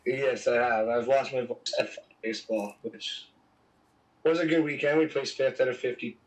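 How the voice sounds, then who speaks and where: A man speaks with a hoarse, worn voice after losing it. He chats casually as a player, pushing to be heard. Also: medium pitch, moderate pace, rough recording. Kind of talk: casual chat.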